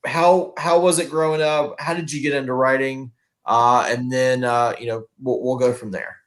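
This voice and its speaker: A man's voice: mid-range voice, steady tone, moderate pace. A man hosting a casual author interview over a video call, upbeat and laughing often, with many fillers. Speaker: host.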